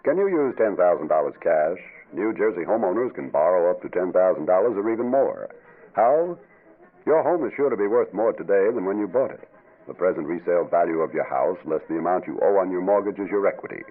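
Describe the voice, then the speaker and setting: A man's voice: low voice, animated, fairly quick. A man reading a scripted radio advertisement as an announcer, persuasive and emphatic. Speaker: commercial announcer. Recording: tinny band-limited radio recording.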